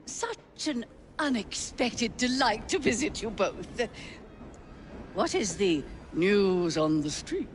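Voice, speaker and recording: A woman's voice: medium pitch, sing-song, takes it slowly, slight background noise. Haughty, theatrical society lady. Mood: gushing. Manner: theatrical, gushing, snobbish. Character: flamboyant, haughty high-society lady with mischievous streak. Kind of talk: video game cutscene acting.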